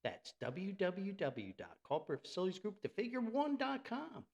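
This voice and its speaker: American guy from new jersey chatting on his podcast, kinda theatrical and folksy, voice a bit high and really up and down. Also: wildly swooping pitch, moderate pace, creaky, darkish tone, lo-fi sound. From New Jersey, United States. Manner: theatrical, folksy, exclamatory, repeats words for emphasis. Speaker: podcast host. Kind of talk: chatty solo podcast monologue with sponsor reads.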